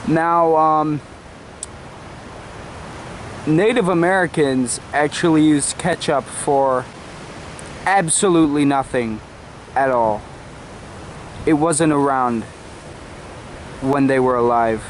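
A young adult man hosting a mock nature show to camera in a halting, deadpan, mock-reverent way. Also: a little high. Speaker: host of a comedy cooking show. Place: outdoors in a suburban backyard. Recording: noisy outdoor camera audio, background hiss.

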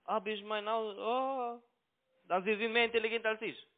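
A man with a foreign accent and non-native, halting German with broken grammar, making an unscripted sales call over the phone as a broker. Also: sits high, steady tone. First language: not German. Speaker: cold caller posing as a broker. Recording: tinny narrow phone line.

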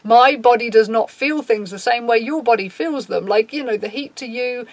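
Woman, 30s, low raspy voice; blunt, sweary talk to camera, dry sound. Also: normal speed, a little mellow, no room sound. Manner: frank, swears freely, reads out a list and reacts. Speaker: YouTuber. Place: small dim room, indoors.

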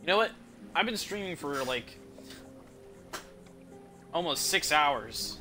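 A man's voice: on the high side, lively intonation, takes it slowly, sharp tone. A young man giving casual, informal gameplay commentary as a streamer, thinking aloud. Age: young adult. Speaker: game streamer. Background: game music.

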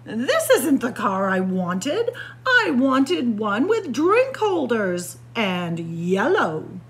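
A woman's voice: fairly high pitch, huge pitch swings, very slow, heavily acted. A woman reading a storybook, voicing a spoiled, fussy young princess who complains in a whiny, emphatic way. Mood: petulant. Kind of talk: storybook read-aloud.

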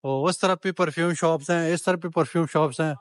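A man's voice: fairly high pitch, steady tone, fairly quick, no echo, clean studio sound. Man, travel vlogger, chatty, haggling over prices, outdoor market, handheld camera. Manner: chatty, bargains with shopkeepers, addresses viewers as brothers. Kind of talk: walking-tour vlog narration.

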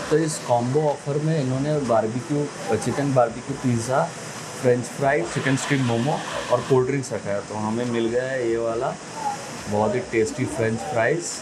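A man's voice: mid-range voice, noisy background. A man in his thirties reviewing food in a casual, chatty way, mixing in English phrases, on a lapel mic in a restaurant. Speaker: food vlogger. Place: small restaurant indoors, later busy street outside.

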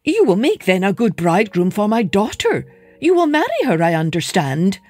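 High squeaky little father mole, eager and begging, a bit gravelly, man puts it on. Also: animated, slow pace, faint music behind, full character voice. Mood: hopeful. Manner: eager, pleading, formal. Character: fussy proud father mole, male, small.

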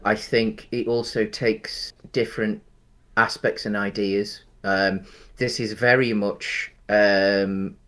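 Hesitant man with a low voice. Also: flat delivery, slow, a bit bright. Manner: hesitant, many ums and restarts. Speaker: podcast co-host. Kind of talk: casual film discussion. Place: home room, indoors. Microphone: headset.

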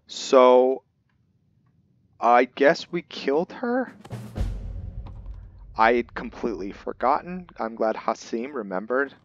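A man hosting a game playthrough, musing and reading aloud in a halting, casual way. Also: mid-range voice, sing-song, highs cut off, faint music behind. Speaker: gaming video host.

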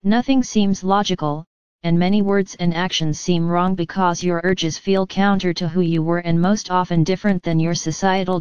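A woman with a lowish, exceptionally smooth and clear voice reads a spiritual message in a measured, earnest way, speaking straight to the listener. The voice-over is dry and close, with a slightly dull, lo-fi sound. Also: darkish tone, no echo. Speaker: narrator reading a channelled spiritual message. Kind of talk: scripted spiritual narration.

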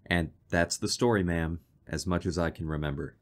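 A man playing a low-voiced, earnest fiancé. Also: close to an everyday voice. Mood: earnest, uneasy. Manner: polite, serious, measured. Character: earnest, worried young fiancé telling his strange story. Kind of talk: audio drama acting and narration.

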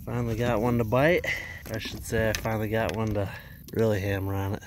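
A man's voice: on the low side, flat delivery, brisk, slight background noise. A man in his thirties casually narrating a fishing trip, folksy and chatty, out on a lake in a kayak. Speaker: fishing vlogger. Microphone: action camera.

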